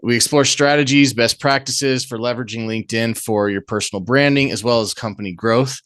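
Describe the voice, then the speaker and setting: A man's voice: medium pitch, brisk, fairly bright tone, dry recording, clean studio sound. A man hosting a business podcast, introducing his guest and asking questions with enthusiasm. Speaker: podcast host. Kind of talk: podcast host introduction and interview.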